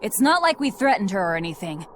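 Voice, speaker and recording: A woman's voice: medium pitch, very animated, fast talker, a little hiss or hum, natural voice. An actress playing a guarded, blunt young demon woman in a game, speaking curtly. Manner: curt, blunt.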